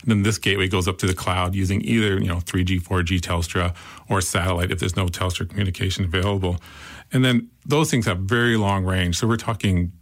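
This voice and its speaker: A man with a Canadian accent, a founder explaining his product in an interview with quick enthusiasm. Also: deep voice, fairly even intonation. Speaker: startup founder. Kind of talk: interview answers.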